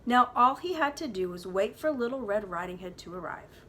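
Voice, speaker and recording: A woman's voice: mid-range voice, animated, fairly quick, fairly bright tone. A woman preschool teacher reading a storybook aloud to young children, with dramatic emphasis.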